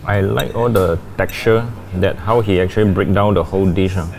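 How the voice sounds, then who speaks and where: A man in his forties, a chef, calmly describing dishes over dinner in a restaurant. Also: low voice, vocal fry. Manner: measured, descriptive tasting comments. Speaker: chef guest. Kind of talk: casual dinner conversation. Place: upscale restaurant dining room.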